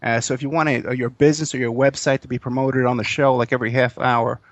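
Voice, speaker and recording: A man's voice: mid-range voice, flat delivery, quick pace, dry recording. Chatty fifties male radio host, dry mic. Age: 50s. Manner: casual, rambling, many fillers. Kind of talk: live internet radio interview.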